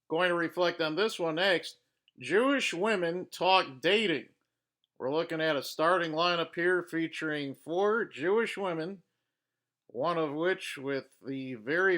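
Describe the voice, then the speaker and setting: A man hosting a reaction video, pausing clips to give blunt, judgmental commentary with frequent fillers. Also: on the high side, bright, forceful tone. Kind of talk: commenting over paused clips.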